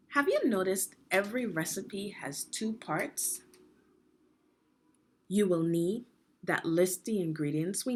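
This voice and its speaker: A woman reading aloud a children's instructional book like a teacher, phrasing deliberately and putting prompting questions to young readers. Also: medium pitch, breathy edge. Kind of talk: read-aloud guided reading lesson.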